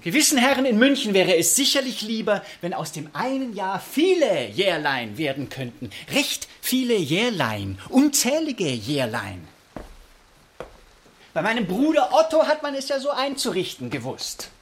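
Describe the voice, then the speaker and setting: A man's voice: high-pitched, sing-song, normal speed, a bit bright, a little hiss or hum. An actor playing a proud deposed king in a radio drama, theatrical and sarcastic, drawing out his words with mock playfulness. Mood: bitter sarcasm. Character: deposed Bavarian king, proud, huge, theatrically bitter and suspicious.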